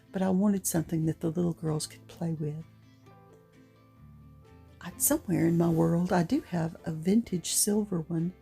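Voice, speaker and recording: A middle-aged woman with a gruff voice giving a chatty, rambling, unhurried voice-over for a craft tutorial. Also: on the low side, mellow. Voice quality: gruff, gravelly. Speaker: craft vlogger.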